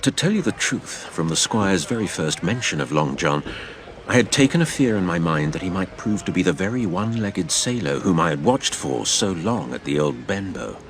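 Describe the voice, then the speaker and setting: Narrator for a dramatised sea tale male actor: deep, lively intonation, measured literary storytelling, faint ambient noise. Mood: reflective. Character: grown man recounting his boyhood sea adventure. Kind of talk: scripted narration in audio drama.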